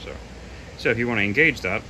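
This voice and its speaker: Low-voiced man, noisy casual demo. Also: fast talker. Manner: hesitant, loose, admits gaps in knowledge. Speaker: presenter demonstrating audio equipment. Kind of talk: casual unscripted product demo. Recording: clearly noisy home recording.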